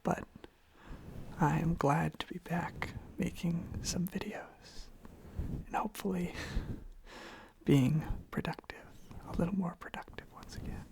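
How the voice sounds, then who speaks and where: A person whispering a gentle, soothing ASMR ramble in a breathy voice with some fry, softly repeating phrases close to the microphone. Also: medium pitch, fairly even intonation, measured pace, long pauses, poor audio. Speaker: ASMR video creator. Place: own room.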